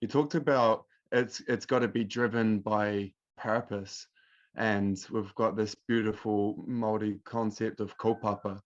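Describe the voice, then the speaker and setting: Male host, flat delivery, halting, dull lo-fi audio. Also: fairly low pitch. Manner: halting, searching for words. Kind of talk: interview questions.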